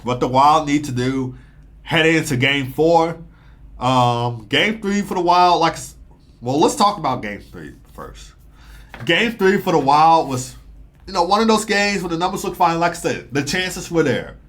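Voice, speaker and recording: A man's voice: fairly high pitch, expressive, measured pace, fairly bright tone. A man hosting a live hockey podcast, talking through the game in a rambling casual way with frequent fillers and repeats, a bit sniffly from a stuffy nose. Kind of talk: casual live sports analysis.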